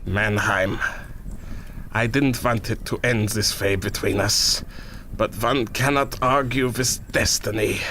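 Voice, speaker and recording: A man playing a defeated, fatalistic villain baron in an audio drama, speaking formally and haltingly with condescension. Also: lowish voice, fairly even intonation, sharp tone, slight background noise. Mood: weary resignation.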